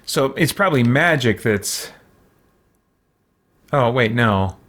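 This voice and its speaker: A man's voice: mid-range voice, expressive, slow, fairly bright tone. A man giving casual game commentary, thinking aloud to himself in a musing, tentative way. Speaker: gamer hosting a let's play.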